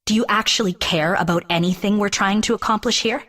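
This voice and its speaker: An actress playing a stern, idealistic mercenary captain in a fantasy game, indignant and challenging. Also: female voice, medium pitch, fairly quick, fairly bright tone, lightly acted. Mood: accusing.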